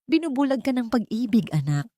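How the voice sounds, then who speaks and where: A woman's voice: on the high side, very animated, brisk, warm tone, dry recording, clean studio sound, pitched above the performer's own voice. A woman voicing a stern, suspicious older patriarch in an audio story, grave and menacing. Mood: stern warning.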